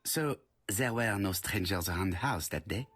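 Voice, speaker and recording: An actor playing a polite, precise middle-aged detective in a video game, speaking formally with a French accent. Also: male voice, low voice, animated, a bit bright, breathy edge, heavily acted. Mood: courteous. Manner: formal, measured, courteous.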